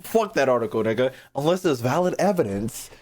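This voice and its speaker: A young man streaming his reactions to a game. He talks in a brash, slangy, sweary way and repeats phrases, with pitch that jumps around a lot. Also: lively intonation, brisk, darkish tone. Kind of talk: casual live-stream commentary.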